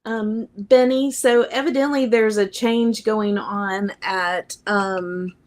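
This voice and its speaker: A woman's voice: mid-range voice, fairly even intonation, slow pace. A woman chatting on a livestream, hesitant and stumbling over her words. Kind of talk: casual chat.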